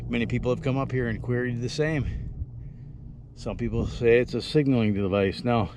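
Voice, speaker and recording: A man's voice: medium pitch, steady tone, moderate pace. A man giving casual outdoor travel narration to camera as a vlogger, exploring out in the open. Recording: windy outdoor recording with loud noise. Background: loud wind noise.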